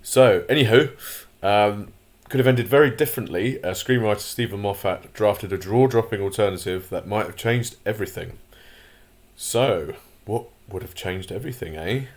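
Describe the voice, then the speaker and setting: Vlog host, male, deep and croaky, rambly and opinionated. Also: moderate pace. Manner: rambling, many fillers and restarts; opinionated, grumbling asides. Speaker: channel host. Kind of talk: casual commentary vlog.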